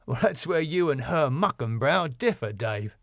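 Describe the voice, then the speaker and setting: A man's voice: on the high side, lively intonation, moderate pace, gravelly, no room sound, voice pushed up. A laid-back British lad of a sitcom, played by a man reading aloud. He is matey, blunt and cheeky, with very dry, close sound. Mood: breezy, cheeky.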